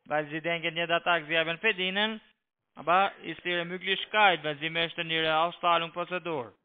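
A man with a foreign accent, not a native speaker, making a halting, repetitive phone pitch over a narrow tinny line. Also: fairly even intonation, smooth. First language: not German. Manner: halting broken German, repetitive. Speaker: scam call-centre agent. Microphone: telephone.

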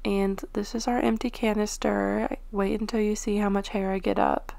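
A woman's voice: mid-range voice, flat delivery, normal speed, vocal fry, a little mellow. A young woman narrating her home cleaning vlog in a chatty voice-over, with frequent ums and small laughs. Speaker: cleaning vlogger.

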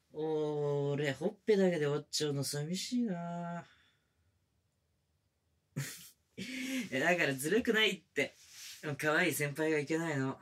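A man's voice: on the high side, fairly bright tone, dry recording. A man playing a pushy, affectionate younger male coworker in love, murmuring teasingly in an intimate ASMR boyfriend roleplay, often close to a whisper. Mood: coaxing, affectionate. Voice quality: breathy, often dropping to a whisper. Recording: close binaural recording with background music.

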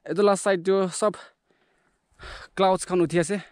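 A man's voice: high voice, steady tone, normal speed, a little mellow, dry recording, studio quality. A young man from India narrating a hike outdoors in a mountain forest, talking to a handheld action camera as he walks and points things out. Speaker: hiking vlogger. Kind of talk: casual narration while hiking. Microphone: action camera held at arm's length.

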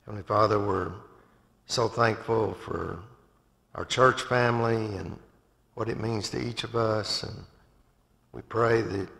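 Older guy at a lectern in an empty church, low gravelly voice, halting and restarting, echoey big room. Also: pushed and bright. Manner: halting, with many restarts and fillers. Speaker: church member leading announcements and prayer. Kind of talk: church announcements and spoken prayer. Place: empty church sanctuary with pews. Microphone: far camera from above, speaking at a lectern. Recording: long hall reverb from a large sanctuary.

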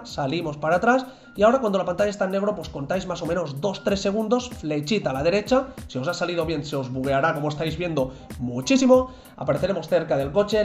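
A man's voice: sits high, darkish tone. A young man from narrating a game tutorial over gameplay, chatty and constantly checking in with viewers. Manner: chatty, repeats "¿vale?" and "chicos" constantly. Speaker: gaming youtuber.

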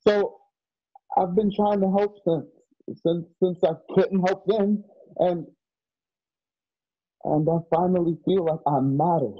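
A man's voice: high-pitched, fairly even intonation, slow pace, long pauses, highs cut off. A man playing an earnest, loyal and naive young companion in a western radio play, with hesitant, stammering delivery. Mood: earnest, emotional. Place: home, on a group video call.

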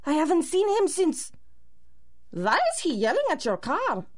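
An actress playing a fretful, excitable older mother in a 1930s-style radio drama. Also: sits high, normal speed. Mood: alarmed. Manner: fretful, exclaiming.

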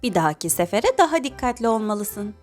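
A woman playing a gentle, patient animal mother who instructs her child in a children's cartoon. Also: medium pitch, sing-song, brisk, faint music behind, natural voice. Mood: mildly scolding.

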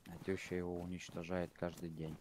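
Low-voiced blunt man chatting in game. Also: completely flat delivery, brisk, darkish tone, slight background noise. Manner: blunt, swears freely, rambling. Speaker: gamer on voice chat. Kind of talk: casual gaming chat.

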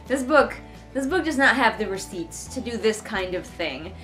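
Young woman, higher voice, sarcastic book commentary, exasperated, casual, living room. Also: expressive, normal speed, fairly bright tone, soft background music. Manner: exasperated, mocking, informal. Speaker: book reviewer reading along. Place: living room couch, indoors. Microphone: camera a short distance away.

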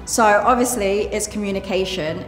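A woman's voice: mid-range voice, moderate pace. A cheerful, giggly woman in her twenties from the Philippines chats as a speed-dating contestant. Her voice rings through a roomy hall with long reverb and faint music underneath. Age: 20s. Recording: echoey hall with music behind.

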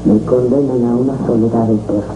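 A woman's voice: very deep voice, fairly even intonation, brisk, music in the background. A woman playing an anguished, fearful young mother in a melodramatic 1970s telenovela. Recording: noisy old TV videotape.

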